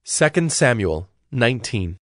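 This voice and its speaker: A man narrating scripture from a script in a measured, solemn storytelling manner. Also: medium pitch, sing-song, no room sound, studio quality.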